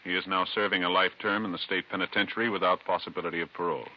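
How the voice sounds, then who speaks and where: A man with an American accent announcing in a formal, measured and solemn 1950s radio style. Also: low-pitched, steady tone. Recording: muffled vintage radio broadcast, loud music.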